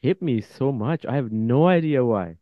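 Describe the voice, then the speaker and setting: A man's voice: medium pitch, animated, moderate pace, no echo, muffled recording. A man hosting a podcast, chatting casually in an informal, effusive, loosely phrased way. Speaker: podcast host. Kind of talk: casual interview chat.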